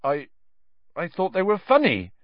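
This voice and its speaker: A man voicing a huge, rough-spoken male gamekeeper teacher who calls out loudly and heartily, stumbling over his words when flustered. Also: on the high side, sing-song, unhurried, no echo, voice pushed up. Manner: loud hearty calling, stammers when flustered. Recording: dull dry lo-fi recording.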